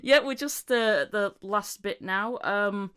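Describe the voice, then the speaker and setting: A woman hosting a podcast in casual interview chat, informal and a little halting in her phrasing. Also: medium pitch, a bit bright, clear, resonant tone. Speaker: podcast host, voice actor.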